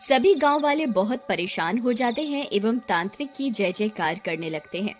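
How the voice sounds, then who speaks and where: A woman's voice: fairly high pitch, expressive, normal speed, faint music behind. A woman narrating a scripted moral story as a storyteller.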